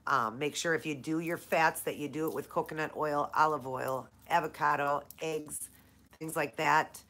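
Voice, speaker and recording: A woman's voice: low voice, moderate pace, a bit bright. A woman from Minnesota hosting a casual live Q&A, chatting with viewers by name, her nose stuffed up from allergies. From Minnesota, USA. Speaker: live-stream host.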